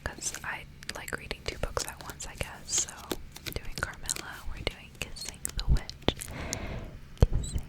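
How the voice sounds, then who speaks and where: A woman hosting ASMR in a breathy whisper, chatting casually and reading from a book. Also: measured pace, low-quality recording. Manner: casual, rambling. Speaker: ASMR host.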